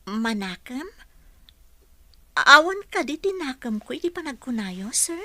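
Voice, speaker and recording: A woman's voice: sits high, sing-song, a little slow, sharp tone, dry recording, heavily acted. A woman acting as a respectful young housekeeper in an Ilocano radio drama, speaking with an Ilocano accent. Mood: deferential, questioning. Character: respectful young woman housekeeper, college graduate job seeker.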